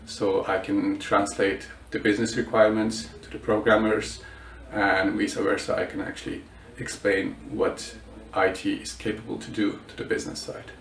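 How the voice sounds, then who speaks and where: A man's voice: fairly low pitch, level tone, moderate pace, vocal fry. A student in his twenties from Bulgaria, answering interview questions with many hesitations and restarts. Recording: roomy echo, mic at a distance.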